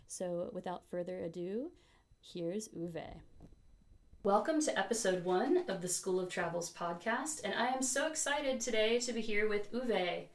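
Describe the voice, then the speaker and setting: A woman's voice: medium pitch, animated, unhurried. Upbeat woman podcast host. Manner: eager, upbeat and chatty. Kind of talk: casual interview chat.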